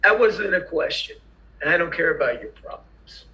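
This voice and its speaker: A cold, sardonic tax auditor played by a man at a table read. His voice is fairly high and sharp, and he brushes people off curtly in an echoey room. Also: lively intonation, moderate pace. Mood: cold, dismissive. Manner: curt, dismissive, sardonic. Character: curt, unsympathetic male tax auditor, coldly dismissive. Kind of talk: screenplay table read acting.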